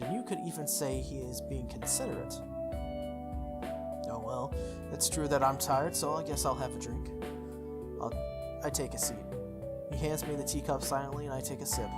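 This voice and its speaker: A let's player of unclear sex reading a visual novel aloud, animated, breaking off for casual asides. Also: medium pitch. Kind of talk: gameplay commentary and dramatic read-aloud. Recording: music behind the voice. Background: music.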